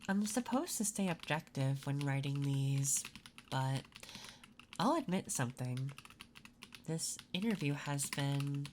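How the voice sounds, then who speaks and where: A low-voiced female interviewer, acted by a woman in an ASMR roleplay, curious and gently flirtatious. She talks softly and takes her time, keeping an intimate, attentive manner. A faint noise sits behind her voice. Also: exaggerated voice. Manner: soft-spoken, unhurried, intimate.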